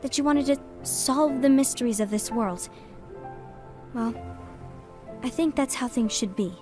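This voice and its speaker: A woman voicing a gentle, troubled young woman who speaks softly and earnestly, in a fan dub. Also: high voice, measured pace, music in the background. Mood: wistful. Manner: soft, earnest, questioning.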